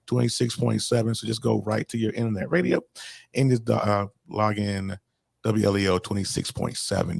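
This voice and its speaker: A man's voice: fairly low pitch, vocal fry, a little mellow, breathy edge, no room sound, clean studio sound. A man hosting a podcast in a laid-back, joking way, sounding a little under the weather. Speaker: podcast host. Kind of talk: casual podcast chat.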